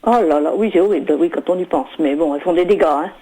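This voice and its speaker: A woman's voice: low-pitched, fast, dark tone, breathy edge. A woman in a casual phone conversation, speaking in a rambling, credulous and exclamatory way. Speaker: prank call recipient. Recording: noisy telephone line with clicks.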